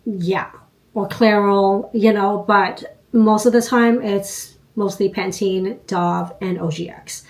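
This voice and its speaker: A woman's voice: medium pitch, darkish tone. A woman in her fifties getting over a cold, nasal and congested, chatting casually to her viewers about her routine. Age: 50s. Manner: unhurried, chatty, frequent ums and you knows. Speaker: beauty vlogger. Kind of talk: casual chat to camera.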